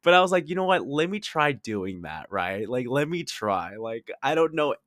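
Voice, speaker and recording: A man's voice: mid-range voice, big pitch swings, moderate pace, a bit bright, no room sound, clean studio sound. A man in his twenties telling personal stories in a casual, confessional, chatty way, very informal, with lots of "like" and some swearing. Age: 20s. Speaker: podcast host.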